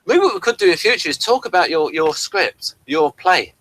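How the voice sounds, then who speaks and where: Bright-voiced man in casual review chat. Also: high voice, lively intonation, normal speed, no echo, poor audio. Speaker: co-host of a review show.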